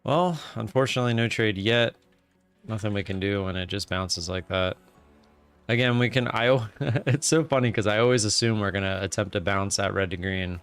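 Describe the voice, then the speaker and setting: A man's voice: on the low side, fairly bright tone, smooth. A man in his late thirties chatting casually on a live stream, rambling with many fillers and reading out viewer comments. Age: 30s. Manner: rambling, with frequent "uh" fillers and restarts.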